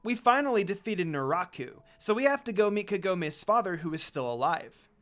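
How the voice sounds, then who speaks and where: Man voicing a small fox demon kid, eager, animated pitch. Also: normal speed.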